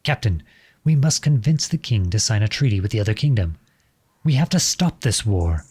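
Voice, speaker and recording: Guy acting as a lovestruck defiant prince, husky lowish voice, earnest and dramatic, audio roleplay. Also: big pitch swings, moderate pace, a little mellow. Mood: urgent, pleading. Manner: earnest, pleading, dramatic delivery. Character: outlawed young prince turned soldier, impassioned, lovestruck, defiant.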